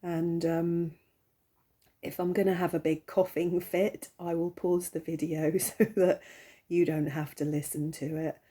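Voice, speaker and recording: A woman hosting a tarot vlog, talking casually in a croaky, hoarse voice, hesitant and rambling with many pauses. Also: fairly low pitch, flat delivery, mellow. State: hoarse, recovering from illness. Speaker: tarot channel host. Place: at home, seated.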